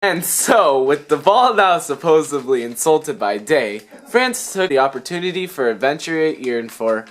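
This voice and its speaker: A man's voice: a little high, animated, normal speed, few pauses. Young man narrating with wry theatrical flair. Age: young adult. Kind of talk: scripted history narration for a student puppet show.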